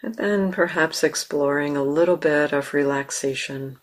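A woman with an American accent, a meditation teacher leading a guided meditation slowly and softly, with long pauses. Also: low voice. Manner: slow, soft, calm, with long pauses. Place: meditation hall.

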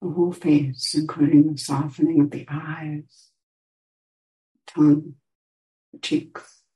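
Slow, mellow woman guiding meditation. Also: low voice, level tone, poor audio. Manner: soft, unhurried instruction with long pauses. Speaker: meditation teacher. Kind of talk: guided meditation.

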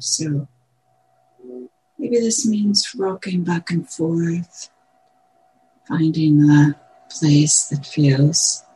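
A woman meditation teacher guiding a meditation in gentle, measured short phrases with long pauses. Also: low-pitched, rough recording. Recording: clear background noise, room ambience.

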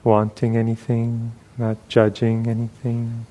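A man leading a guided meditation as a teacher, speaking gently and unhurriedly with long pauses. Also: medium pitch, completely flat delivery.

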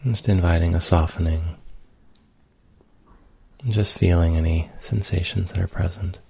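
A man leading a guided meditation in a gentle, unhurried manner with long pauses. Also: low-pitched, steady tone, vocal fry, slightly rough. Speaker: meditation teacher.

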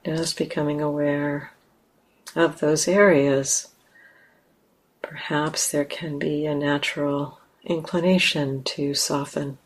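Very slow, low-voiced woman guiding meditation. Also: little pitch movement, rough recording. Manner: gentle, unhurried, with long pauses. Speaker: meditation teacher. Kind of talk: guided meditation.

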